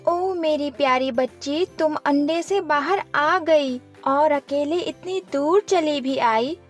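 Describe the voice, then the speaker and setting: A woman voicing a loving mother hen in a children's animated story, tender and doting. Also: high voice, slow pace, faint music behind, voice pushed up. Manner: tender, beckoning.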